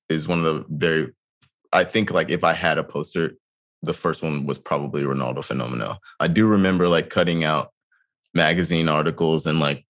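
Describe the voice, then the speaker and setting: Man, 30s, extremely low voice, relaxed co-host who hesitates and restarts a lot. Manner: hesitant, frequent restarts and fillers. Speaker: podcast co-host. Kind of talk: casual chat.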